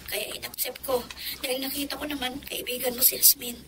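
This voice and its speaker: A woman's voice: sits high, little pitch movement, fairly quick, background noise. A woman playing an emotional, imploring young mother in a Filipino radio drama. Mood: subdued, grateful. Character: pleading, tearful young mother begging for her child.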